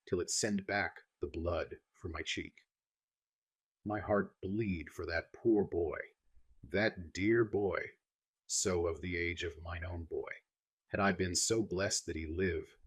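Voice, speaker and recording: A man reading the lines of an old foreign professor who speaks slow, broken English, grieving yet philosophical. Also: low voice, slightly rough, dry recording. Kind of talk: audiobook reading.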